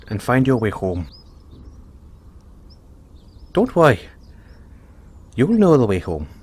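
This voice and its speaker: A man's voice: medium pitch, darkish tone, breathy edge. A man voicing a kindly, playful leprechaun in an audio roleplay, folksy and reassuring. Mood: warm, encouraging. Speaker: roleplay performer. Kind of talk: audio roleplay, speaking softly to one listener.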